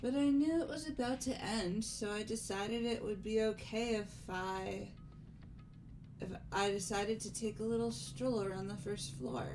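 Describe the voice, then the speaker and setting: A woman voicing a composed young lady in a video game, speaking formally and haltingly as she gives a dramatic account. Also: medium pitch, a little mellow, soft background music, lightly acted, low-quality recording. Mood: grave, formal.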